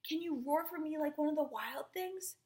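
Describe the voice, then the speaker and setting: A young woman reading a picture book aloud to small children, breathy and often near a whisper, with encouraging exclamations and simple questions. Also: sits high, moderate pace, darkish tone.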